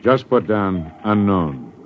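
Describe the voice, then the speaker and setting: A gruff senior space officer with a low voice and curt, scornful delivery. It is 1950s radio acting on a muffled, hissy recording. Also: male voice, sing-song, takes it slowly, exaggerated voice. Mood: scornful, exasperated. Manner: curt, clipped commands and sarcasm.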